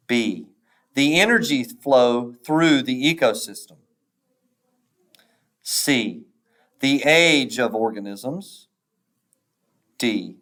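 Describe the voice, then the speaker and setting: Male test reader, mid-pitched, extremely unhurried and deliberate, pausing between answer options, varied intonation. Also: a bit bright. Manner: measured, pausing after each option letter. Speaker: teacher reading a test aloud. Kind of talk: slow, deliberate read-aloud of quiz questions and answer choices.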